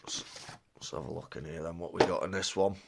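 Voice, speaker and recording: A man's voice: sits low, brisk, vocal fry, heavy background noise. Chatty man with a cold. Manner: chatty, rambling, excitable. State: slightly bunged up with a cold. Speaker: card-opening vlogger. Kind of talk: casual unboxing chat.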